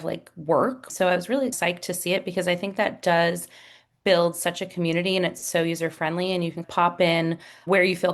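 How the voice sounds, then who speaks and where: Enthusiastic woman guest, slightly low pitch, chatty with "like" fillers. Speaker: guest, support professional. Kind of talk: casual video call interview.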